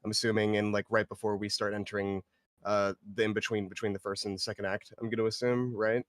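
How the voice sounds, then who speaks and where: A man's voice: fairly low pitch, level tone, quick pace, no echo. A man giving casual feedback in a hesitant, hedging, rambling way. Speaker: participant giving script feedback. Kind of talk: casual spoken critique.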